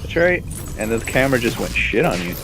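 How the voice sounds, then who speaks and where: A man's voice: mid-range voice, big pitch swings, fast. A man in casual, crude, sarcastic banter as a co-commentator on a video game playthrough. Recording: music and game sounds behind. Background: loud game music and effects.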